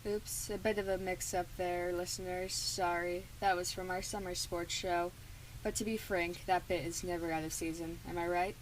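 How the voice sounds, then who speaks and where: A woman's voice: on the low side, level tone, normal speed, lightly acted. A woman playing a chatty, apologetic radio show announcer, hosting in a scripted radio play. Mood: apologetic, smooth. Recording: clearly noisy background.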